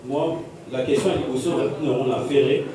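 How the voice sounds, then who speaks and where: A man's voice: mid-range voice, steady tone, moderate pace, mellow, slight background noise. A male journalist asking a long, winding question at a press conference, mixing French and Lingala. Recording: echoey hall, distant mic, crowd.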